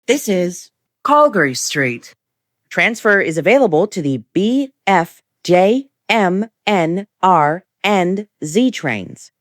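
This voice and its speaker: A woman reading scripted automated train announcements in measured, formulaic phrases. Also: lowish voice, lively intonation, no room sound, clean studio sound. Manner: formulaic, measured delivery of short set phrases.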